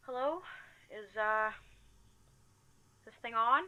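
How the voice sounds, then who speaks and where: An actress playing a hesitant, grieving but stubbornly determined amateur recorder in an audio drama, speaking in a hushed, halting way. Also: female voice, fairly high pitch, animated, lo-fi sound, a little hiss or hum, full character voice.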